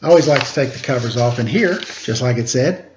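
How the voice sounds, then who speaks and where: A man's voice: mid-range voice, lively intonation, brisk. A man reading a children's picture book aloud at storytime, with casual asides to his listeners. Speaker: storytime reader, teacher. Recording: roomy, dull, faintly noisy home recording.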